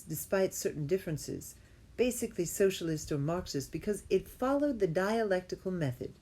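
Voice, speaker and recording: A woman with a slightly low voice reads academic prose aloud. She sounds like an amateur reader working through formal writing. Her delivery is halting, and she tends to repeat phrases to put them right. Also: warm tone. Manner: halting, often repeats phrases to correct herself.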